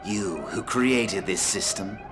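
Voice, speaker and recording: An actor playing a cold, contemptuous villain in a video game. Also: male voice, fairly low pitch, takes it slowly, background noise. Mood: cold, mocking. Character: cold, contemptuous villain indifferent to a planet's fate.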